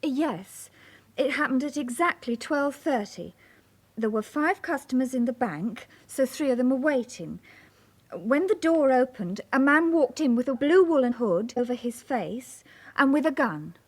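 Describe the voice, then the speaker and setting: An actress playing a composed young bank cashier in a radio drama, precise and matter-of-fact. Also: female voice, high-pitched, lively intonation, measured pace. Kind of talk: British radio drama acting.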